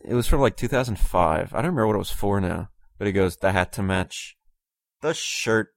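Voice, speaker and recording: Casting: male podcast co-host, low gravelly voice, lively delivery, dry close mic. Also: a little fast, no room sound. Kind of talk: casual chat.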